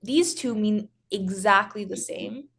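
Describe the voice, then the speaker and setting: A woman teaching French grammar in English, explaining slowly with many pauses, restarts and filler words. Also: mid-range voice, gravelly, heavy background noise. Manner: hesitant, frequent restarts and "so". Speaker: French tutor.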